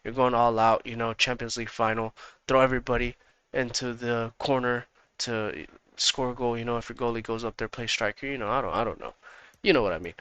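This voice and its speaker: A man hosting a gaming channel, walking viewers through his tactics in a casual, rambling way that repeats itself, his voice slightly hoarse from being sick. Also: medium pitch, fairly even intonation, fairly quick, fairly bright tone. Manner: rambling, repeats himself, talks straight to viewers.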